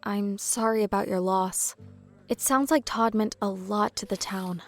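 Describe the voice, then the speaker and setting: A woman's voice: medium pitch, steady tone, a little slow, soft background music, close to an everyday voice. A woman playing a friendly, sympathetic young adventurer in an audio drama.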